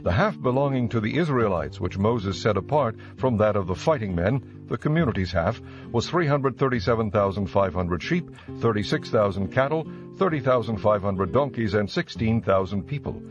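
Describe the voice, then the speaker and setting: A man narrating scripture from a script in a measured, formal manner. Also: lowish voice, animated, dull audio, faint music behind.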